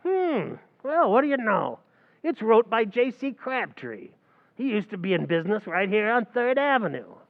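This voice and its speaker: A man acting a scheming, fast-talking tavern manager with a gravelly, streetwise voice and mangled grammar, in an old-time radio comedy recreation. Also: high-pitched, sing-song, long reverb tail, narrow, tinny audio, exaggerated voice. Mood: wheedling. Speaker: stage actor in a radio play recreation.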